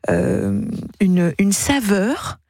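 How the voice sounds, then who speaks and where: A woman's voice: medium pitch, lively intonation, extremely slow, vocal fry, a little mellow, no room sound, clean studio sound. A woman hosting a live radio phone-in, talking conversationally and often searching for her words.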